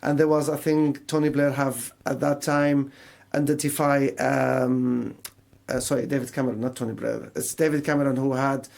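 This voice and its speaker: A middle-aged man from Syria, a native Arabic speaker with a Syrian Arabic accent, telling his story as a radio guest in halting English, searching for words. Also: medium pitch, flat delivery, gravelly, darkish tone. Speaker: radio interview guest, mental health charity service manager. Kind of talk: conversational radio interview, personal storytelling.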